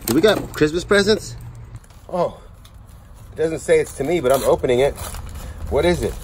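A man in his forties, chatty and excited, talking to viewers while unboxing outdoors beside storage units. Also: a little high, normal speed. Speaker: storage auction reseller. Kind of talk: casual unboxing vlog. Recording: outdoor noise behind voice.

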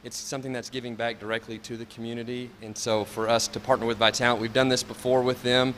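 A man, a local business owner answering interview questions in a mall space, friendly but halting. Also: medium pitch, little pitch movement, a bit bright, a little hiss or hum. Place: large indoor mall space.

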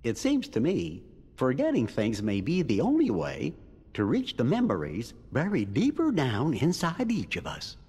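Small precise cartoon cricket for a game, measured pace, echoing hall. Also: male voice, mid-range voice, huge pitch swings, warm tone, breathy edge, heavily acted. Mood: thoughtful. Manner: precise, explanatory. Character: fussy little male cartoon cricket adviser. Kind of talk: cartoon character acting in a game.

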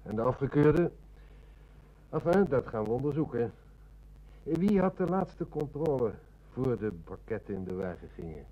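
An actor playing a shrewd, methodical police inspector near retirement in a radio play, with measured, polite questioning. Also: male voice, medium pitch, very animated, warm tone, low-quality recording. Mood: methodical, probing. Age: older. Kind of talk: Dutch radio play acting.

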